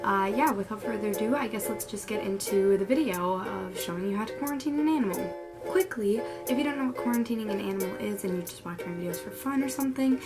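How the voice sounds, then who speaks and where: A young woman with a cold, sounding a little tired and under the weather, chatting casually through a pet care how-to with lots of likes and ums. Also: mid-range voice. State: sick, a little hoarse and tired. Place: bedroom at home. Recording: background music throughout. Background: background music.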